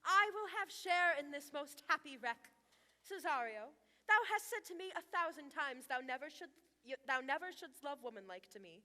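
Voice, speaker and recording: A woman's voice: high voice, animated, moderate pace, sharp tone. A woman playing a lovestruck, imperious young nobleman in Shakespearean stage acting, recorded in an echoey hall. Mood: warm, noble.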